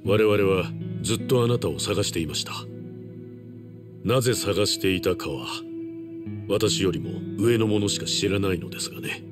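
Low-voiced, courteous agent. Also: male voice, moderate pace. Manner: polite, formal, calm. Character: composed, courteous shadowy organisation agent in suit. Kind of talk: game cutscene acting. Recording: clear guitar music behind. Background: guitar music.

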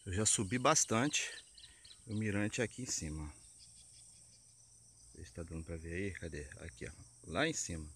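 A man's voice: sits low, moderate pace, pauses often, a bit bright. A man in his thirties with a Brazilian Portuguese accent, giving casual vlog commentary into a handheld camera outdoors. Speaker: cycling vlogger. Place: outdoors on rural mountain roads. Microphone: handheld camera at arm's length. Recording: clear outdoor noise, phone or camera mic.

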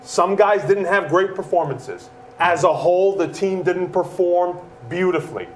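A man's voice: high voice, unhurried, slight background noise. A man in his thirties, a soccer analyst, speaking directly at a studio desk with a lapel mic.

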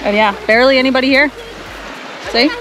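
A woman's voice: high voice, fairly even intonation, brisk, low-quality recording. A young woman chatting casually and cheerfully while vlogging a family camping trip outdoors, laughing easily. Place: outdoors at a beach and park. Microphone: action camera.

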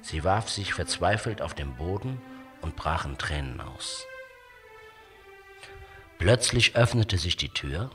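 A man narrating a scripted fairy tale for children as an expressive, dramatic storyteller. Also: low voice, takes it slowly, long pauses, soft background music.

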